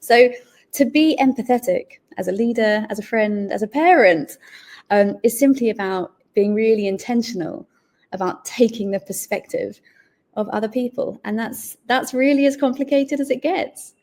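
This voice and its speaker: A woman's voice: fairly high pitch, normal speed, darkish tone. Articulate woman explaining on a podcast. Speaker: guest, author. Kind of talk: podcast interview. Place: Malaysia.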